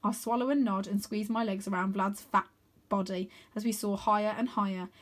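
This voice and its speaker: A woman reading a children's story aloud in an expressive narrating style. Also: medium pitch, brisk. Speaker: storybook reader.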